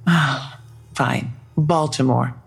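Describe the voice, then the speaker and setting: A woman's voice: rumbling low, extremely slow, creaky, husky, exaggerated voice. A woman playing a serious, probing police detective in an audio drama. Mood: mildly impatient. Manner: serious, businesslike. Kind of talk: full-cast audio drama acting.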